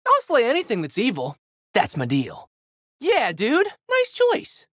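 A man's voice: extremely high pitch, wildly swooping pitch, a little slow, fairly bright tone, dry recording, clean studio sound, exaggerated voice. A man voicing a plucky, goofy teenage boy hero with slangy, exuberant delivery for a video game. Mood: upbeat, chatty. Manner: exuberant, slangy surfer-dude boyishness. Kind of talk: video game character voice lines.

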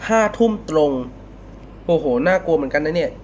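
A man giving casual, unscripted reaction commentary as a video host, halting and repeating words as he thinks. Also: a little high, darkish tone, a little hiss or hum.

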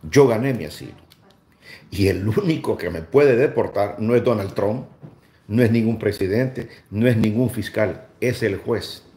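A man's voice: on the low side, unhurried, dark tone. A middle-aged man with a Salvadoran accent, chatting casually as a livestream host and repeating phrases for emphasis. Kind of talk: casual livestream chat and commentary. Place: small room indoors. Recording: roomy indoor echo.

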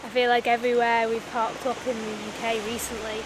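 Chatty young woman by loud surf. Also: a little high, little pitch movement, fairly quick. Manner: thoughtful, chatty, trailing restarts. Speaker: travel vlogger. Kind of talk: casual reflective vlog chat. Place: outdoors on a rocky seashore. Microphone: camera held at arm's length. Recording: loud surf and wind noise. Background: crashing waves.

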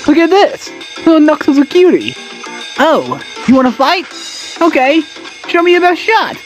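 A woman plays a cocky young cartoon hedgehog hero with a high, lively voice. He is cheeky and playful, unhurried in his delivery. Music sits behind the lines. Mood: playful, teasing. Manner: cheeky, animated. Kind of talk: comic dub voice acting.

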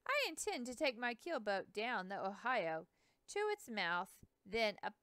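A woman's voice: on the high side, sing-song, a little slow, bright, forceful tone, clean tone, dry recording, voice pushed up, exaggerated voice. A woman reading an earnest young explorer. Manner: earnest, courteous, speaks in a rush. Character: earnest young male explorer, eager and polite.

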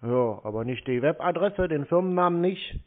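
A man's voice: a little high, lively intonation, normal speed. A man chatting on the phone in a sarcastic, needling way. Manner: sardonic, needling. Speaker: scambaiter taking scam calls. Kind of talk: sarcastic prank phone chat. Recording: tinny narrow phone line.

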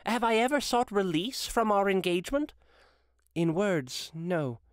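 A man voicing a sorrowful, resolute young woman in a storybook reading, earnest, measured and formal. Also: high voice, animated, clean tone, dry recording, studio quality, voice pushed up.

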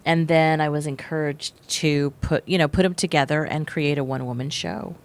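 A woman's voice: deep voice, brisk. A woman in her forties, an actress and playwright, chatting as a guest in a small studio with a handheld mic. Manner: animated, gestures while talking. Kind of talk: casual interview chat. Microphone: handheld microphone.

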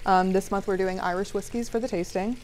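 A woman's voice: mid-range voice, fairly even intonation, fairly quick, a little mellow, rough recording. A young adult woman giving a casual live cooking demonstration as a restaurant manager. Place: TV studio kitchen, indoors. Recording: clear sizzling pan noise. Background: frying and sizzling.

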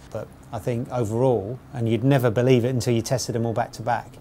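A man's voice: mid-range voice, mellow, slight background noise. A man in his forties giving an unscripted, matter-of-fact spoken review as a motorcycle road tester. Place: studio with dark backdrop, seated at table.

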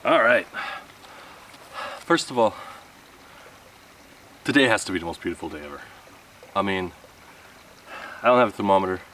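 Male outdoor vlogger, thirties, deep voice, casual rambling delivery, recorded a few metres from the mic. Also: animated, moderate pace, long pauses, bright, forceful tone. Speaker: camping vlogger. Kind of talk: casual vlog talk to camera. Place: outdoor wooded campsite. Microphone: camera a few metres away. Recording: distant mic with outdoor background noise.

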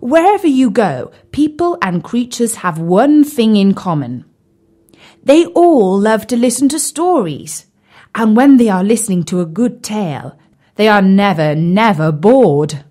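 A woman voicing a slow, earnest storybook frog prince. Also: medium pitch, very animated, mellow. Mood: earnest, pondering. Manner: slow, deliberate, drawn-out. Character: frog who was once a prince, slow, thoughtful, earnest.

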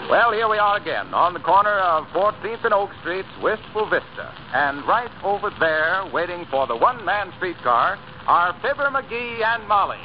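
A man's voice: high-pitched, very animated, moderate pace, hardly any pauses, over loud music. A man speaking as an announcer in the polished style of 1930s radio. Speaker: announcer and supporting radio actor. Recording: dull, noisy 1930s radio recording.

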